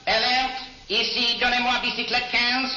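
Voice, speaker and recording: Police dispatcher, clipped official calls, very high flat voice, distant echoey radio. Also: male voice, normal speed, dull audio, music in the background. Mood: urgent, official. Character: French police radio dispatcher calling a bicycle unit. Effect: distant radio broadcast.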